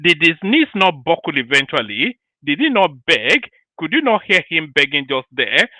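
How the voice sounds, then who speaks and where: A male host delivers opinionated commentary in an emphatic, rhetorical way, circling back to the same phrases. His voice sits a little high and swings widely in pitch. The sound is dry and close, with no room echo. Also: big pitch swings, normal speed, bright, forceful tone.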